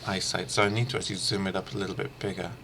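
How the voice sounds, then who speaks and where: Man, language teacher, very low pitch, halting informal explanation, some background noise. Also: little pitch movement. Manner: halting, thinking aloud. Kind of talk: informal instructional screencast. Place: small room lined with shelves, indoors.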